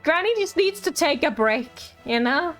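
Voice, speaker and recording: A woman streams her reactions to a video game in a fairly high voice. She rambles casually, teases and repeats words as she goes, with faint music in the background. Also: lively intonation, moderate pace, fairly bright tone.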